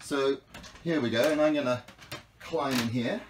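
Easygoing middle-aged skipper showing a yacht. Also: male voice, mid-range voice, moderate pace, background noise. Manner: easygoing, practical, explaining step by step. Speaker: sailing school skipper giving a boat tour. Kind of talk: friendly walkthrough demonstration. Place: on and inside a small sailing yacht, outdoors then in the cabin. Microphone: handheld phone camera.

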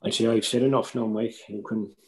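A man's voice: on the low side, steady tone, brisk, a little mellow, a little hiss or hum. An older man with an Irish accent giving an informal, earnest workshop talk over a video call, conversational and emphatic. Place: indoor room at home, on a video call. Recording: roomy home video-call audio.

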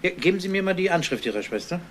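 An actor playing a calm, methodical police inspector who questions witnesses matter-of-factly in a German crime radio drama. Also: male voice, high-pitched, racing, a little hiss or hum. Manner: probing, matter-of-fact questioning.

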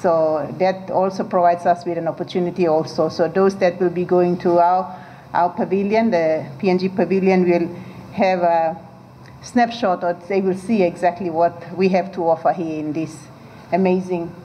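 A woman from Papua New Guinea giving casual interview remarks, thoughtful and pausing with fillers. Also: fairly low pitch, fairly even intonation, muffled recording, a little hiss or hum.

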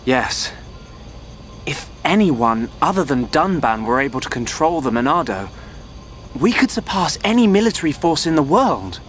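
An actor playing an earnest, thoughtful teenage researcher in a video game cutscene. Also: male voice, on the high side, very animated, normal speed, a bit bright, slight background noise.

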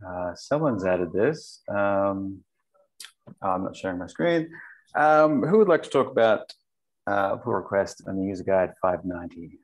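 A man's voice: sits low, animated, a little slow, poor audio. A man hosting an online community meeting in a casual, informal way. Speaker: meeting host. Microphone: video call.

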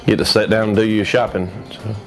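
Man, 40s, Southern US accent, gravelly, monotone, interview, guitar music. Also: lowish voice, fairly quick. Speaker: auctioneer. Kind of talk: documentary interview.

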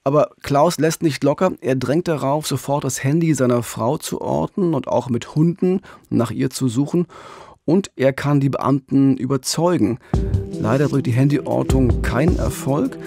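A man's voice: mid-range voice, moderate pace, creaky, mellow, faint music behind. A middle-aged man hosting a true-crime podcast, telling the story conversationally into a studio microphone. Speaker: podcast host. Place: radio studio. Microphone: studio microphone up close with pop filter.

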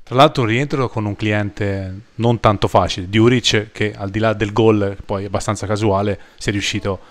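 Male sports reporter, fairly low voice, long winding questions. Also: steady tone, normal speed. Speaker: interviewer. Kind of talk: post-match interview questions.

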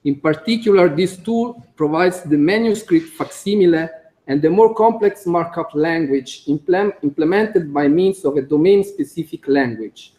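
A man with a strong Italian accent, a computer engineer giving a conference talk read from notes, careful and halting with frequent fillers. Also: a little high, warm tone, rough recording. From Italy. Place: conference room.

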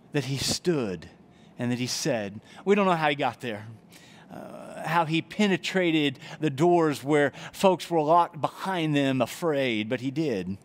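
A man in his forties, a pastor, preaching an earnest sermon in a church chapel on a headset mic. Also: fairly high pitch. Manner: earnest, gestures broadly while preaching. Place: church chapel, indoors, before brick wall.

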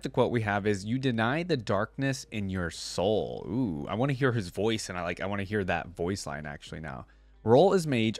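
A man's voice: fairly low pitch, no echo. A young man hosting a casual reaction video, thinking aloud and reading lore at a close desk microphone. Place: indoor gaming room.

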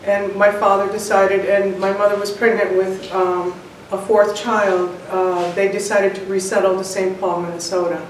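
A woman in her sixties telling her personal story in an interview, measured and reflective, with pauses. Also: medium pitch, flat delivery. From United States, born in Cuba. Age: 60s. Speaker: interviewed home cook and author. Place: indoors, bright home room with large windows. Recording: very echoey room, distant mic, faint noise.

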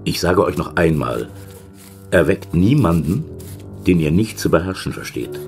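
Audio drama villain: ancient sinister occultist, very low, swooping delivery, archaic and formal, music underneath. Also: male voice, expressive, creaky, a little mellow. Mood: grave warning. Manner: formal, archaic, deliberate diction. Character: sinister centuries-old male occultist dictating a secret letter. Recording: music behind the voice.

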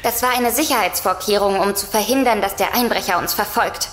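An actress playing a brisk, composed woman in a comedic audio drama. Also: mid-range voice, fairly bright tone, soft background music, lightly acted. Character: brisk, composed woman who dotes on her cat.

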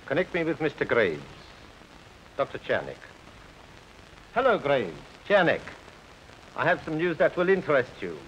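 Brisk official on the phone, crisp, highish lively voice, dull old film sound. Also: male voice, a little hiss or hum. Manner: crisp, businesslike. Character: brisk male official on the telephone. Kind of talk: 1950s TV drama acting.

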